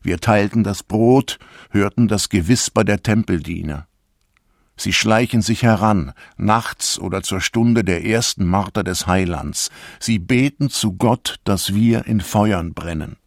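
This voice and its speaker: Lowish male voice, slow solemn declamation, persecuted congregation leader, bitter, radio play. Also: gravelly. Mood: bitter, haunted. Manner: measured, solemn, literary declamation. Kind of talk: radio drama letter monologue.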